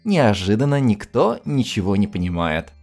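A man's voice: lowish voice, big pitch swings, normal speed, a little mellow, faint music behind. A man reading scripted story narration in a dramatic style.

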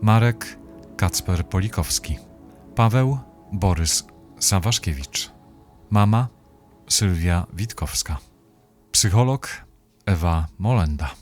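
A man's voice: deep voice, expressive, slow, pauses often, soft background music. A man reading scripted end credits as an announcer.

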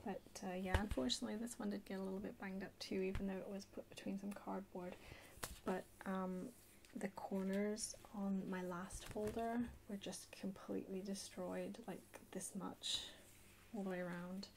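Casting: female ASMR haul host, soft-spoken and half-whispering, mellow and creaky, casual delivery. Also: medium pitch, level tone, moderate pace, slight background noise, low-quality recording. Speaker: video host. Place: indoors at a table.

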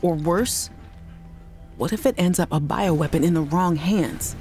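An actress playing a worried woman scientist who leaves halting, apologetic voice messages for her sister in a video game. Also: on the low side, dark tone, soft background music, lightly acted. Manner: anxious, apologetic, halting restarts. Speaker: game character.

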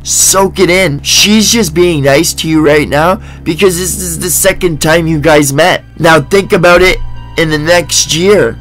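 A man's voice: fairly high pitch, a little slow, fairly bright tone, soft background music, low-quality recording. A man with a stuffed-up nose giving mocking reaction commentary, laughing often. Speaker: commentary host. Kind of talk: reaction commentary over a stream clip. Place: voice-over, not the pictured room.

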